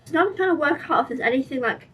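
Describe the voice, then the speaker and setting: A young woman with a croaky, sore-throated voice, chatting tiredly through a casual diary vlog. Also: high-pitched, expressive, racing, a bit bright, far from the mic, a little hiss or hum, low-quality recording. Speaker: vlogger.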